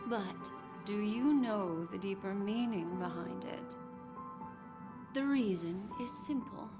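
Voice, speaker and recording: An enigmatic, seductive woman of a fantasy video game, speaks slowly and with poise. Her phrasing is coy and theatrical, lingering on words in a medium-pitched voice. Music plays behind her. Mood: teasing, alluring. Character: enigmatic, teasing, seductive woman who collects memories. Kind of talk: scripted video game character dialogue. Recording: clean voice over game music.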